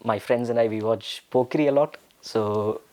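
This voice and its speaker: A young man from India answering interview questions casually, with many pauses and fillers. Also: mid-range voice, darkish tone. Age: young adult. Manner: hesitant, with frequent fillers and restarts. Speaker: interview guest.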